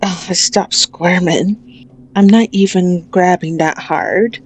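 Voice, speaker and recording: A woman's voice: on the low side, slow pace, slight background noise. A woman playing a possessive, manipulative female mafia boss in an ASMR audio roleplay, menacing and taunting with a mock-tender manner. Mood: cruel, gloating.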